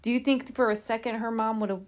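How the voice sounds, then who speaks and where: A woman's voice: a little high, level tone, fast talker. A woman hosting a podcast, telling a true crime case in an informal, conversational way with asides and rhetorical questions. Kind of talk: conversational storytelling from notes.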